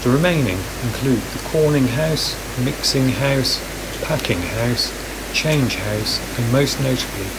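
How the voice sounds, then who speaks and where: A man reading a scripted documentary narration in a calm, measured voice-over. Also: medium pitch, noisy background. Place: voice-over, recorded apart from the woodland footage.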